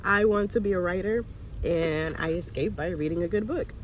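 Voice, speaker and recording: A woman's voice: lowish voice, normal speed, low-quality recording. A young woman with an American accent talks in a casual, chatty vlog style. She is recorded on a phone held at arm's length inside a car. There is faint noise behind her voice. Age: young adult. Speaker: vlogger. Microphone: handheld phone at arm's length.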